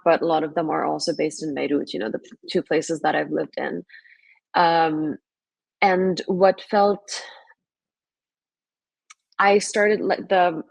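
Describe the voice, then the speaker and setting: Young woman from Lebanon, low gravelly voice, reflective, dry close sound. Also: no echo, rough recording. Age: young adult. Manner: reflective, many fillers and restarts. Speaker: filmmaker guest. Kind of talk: casual interview answers.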